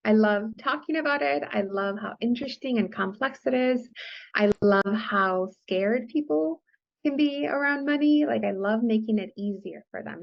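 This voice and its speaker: Gravelly woman, Spanish native, on a dull line. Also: on the high side. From US-Mexico border. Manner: enthusiastic, explanatory, often says "right?" and "like". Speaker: guest, financial coach. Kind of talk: conversational interview. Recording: dull lo-fi podcast audio.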